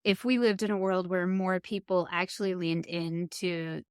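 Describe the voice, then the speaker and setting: A woman's voice: fairly low pitch, steady tone, normal speed, clear, resonant tone, no echo, clean studio sound. A woman hosting a podcast in casual, reflective conversation, thoughtfully choosing her words. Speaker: podcast host.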